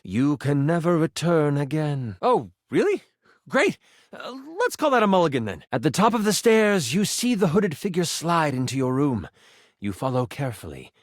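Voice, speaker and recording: An actor voicing a living twenty-sided die, an eager, playful game master who narrates a tabletop adventure theatrically and expressively. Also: male voice, a little high, no room sound, studio quality. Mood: playful, amused. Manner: dramatic storytelling, expressive, playful.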